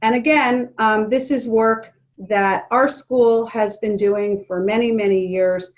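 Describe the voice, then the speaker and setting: Calm woman presenting to teachers online. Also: a little high, slow pace. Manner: calm, gentle, with frequent ums. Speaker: presenter leading an educators' forum. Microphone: video call.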